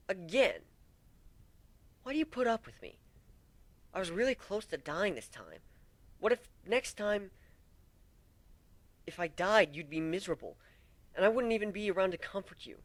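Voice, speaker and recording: A man's voice: high voice, fairly bright tone, no echo, pitched above the performer's own voice, exaggerated voice. A man voicing a wounded male griffin captain in a story, wry, plaintive and emphatic, blaming himself yet devoted.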